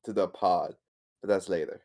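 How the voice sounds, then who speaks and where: A man improvising casually in a tabletop roleplaying game, speaking haltingly and repeating words. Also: low voice, steady tone, a little mellow.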